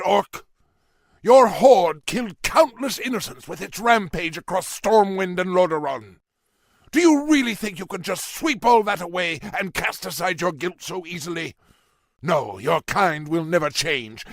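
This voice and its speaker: A man's voice: high-pitched, expressive, a little slow, fairly bright tone, no room sound, studio quality, heavily acted. A man playing a proud, vengeful old human admiral in a fantasy game, speaking with scornful, declamatory force. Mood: indignant, defiant. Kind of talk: fantasy video game acting.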